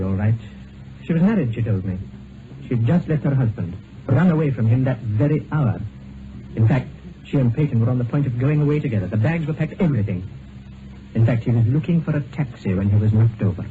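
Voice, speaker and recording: A male actor plays a tactful doctor in an old radio drama. He is formal and hesitant, with a mid-pitched voice, heard through a thin, very noisy vintage broadcast. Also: animated, fairly quick, phone-quality sound, natural voice. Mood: polite, awkward. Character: tactful, awkward male doctor, polite and helpful.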